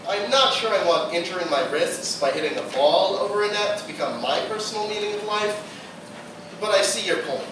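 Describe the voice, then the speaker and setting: A woman's voice: mid-range voice, lively intonation, fairly quick, far from the mic, lightly acted. A young woman playing a brainy, sardonic college student with dry delivery in a live radio play. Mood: wry, sardonic. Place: live performance room, distant mic. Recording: echoey, noisy live theatre recording from afar.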